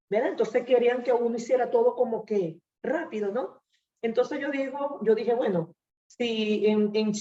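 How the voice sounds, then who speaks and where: A woman's voice: on the high side, mellow, distant mic. A woman from Venezuela, giving a hesitant, rambling personal testimony full of fillers. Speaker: church member. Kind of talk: personal testimony in a group discussion. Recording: echoey room, off-mic, muffled.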